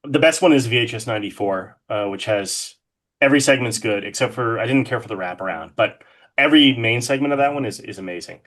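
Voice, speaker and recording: A man's voice: mid-range voice, quick pace, a bit bright. A man chatting casually as a podcast guest, enthusiastic and loose with swearing. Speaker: podcast guest, horror artist. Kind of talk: casual interview chat about horror films.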